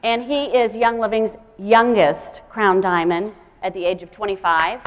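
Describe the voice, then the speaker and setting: A woman's voice: medium pitch, steady tone, measured pace, a little hiss or hum. A woman speaking as an event host, giving a live introduction of a speaker.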